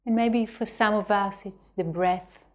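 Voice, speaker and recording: A woman teaching a guided meditation, speaking gently and unhurriedly with long pauses between phrases. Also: mid-range voice, steady tone.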